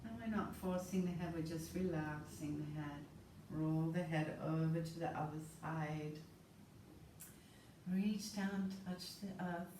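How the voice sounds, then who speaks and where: A woman instructor guiding a yoga class with slow, soothing, calm cues. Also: sits low. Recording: roomy, distant mic with faint noise.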